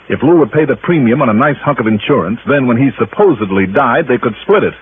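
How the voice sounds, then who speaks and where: An American man playing a tough, confident insurance detective in an old radio drama, narrating and talking in a brisk, wry, hard-boiled way. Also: mid-range voice, expressive, slight background noise, lightly acted. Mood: confident, explanatory. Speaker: lead actor and narrator of a radio detective series. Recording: old narrowband radio recording, music behind.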